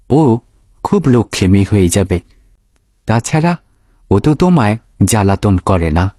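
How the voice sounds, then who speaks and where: An actor playing a gentle, trusting village father in a cartoon, speaking in a soothing, earnest way. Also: fairly low pitch, no echo, clean studio sound. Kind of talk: Bengali cartoon voice acting.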